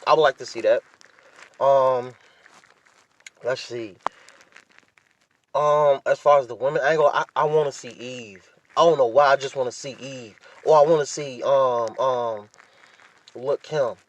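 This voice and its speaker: A man's voice: mid-range voice, fairly even intonation, measured pace, pauses often, highs cut off. An American man hosting a podcast solo, talking casually and giving his opinions to listeners in slangy, often profane language. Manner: informal slangy talk with frequent profanity. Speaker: podcast host.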